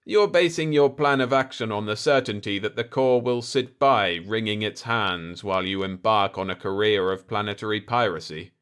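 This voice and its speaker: A man's voice: fairly low pitch, moderate pace, few pauses, a bit bright, smooth, natural voice. Man playing a dry, unflappable diplomat hero. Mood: cool, calculating. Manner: dry, sardonic. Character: cool, wry, unflappable male diplomat hero.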